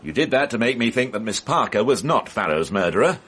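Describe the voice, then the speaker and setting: An actor playing a formal, persistent police inspector in a radio drama, speaking precisely and probingly. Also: male voice, lowish voice, big pitch swings, a little fast, a bit bright. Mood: accusing, measured. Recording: faintly noisy archive radio broadcast.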